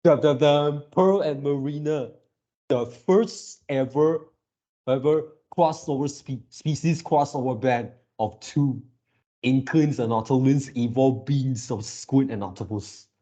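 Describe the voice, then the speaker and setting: Male fan commentator, mellow and unhurried, halting delivery that circles back on words. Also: mid-range voice. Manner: halting, repeats words and searches for phrasing. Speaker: video creator reacting to a game series. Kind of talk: casual fan reaction commentary.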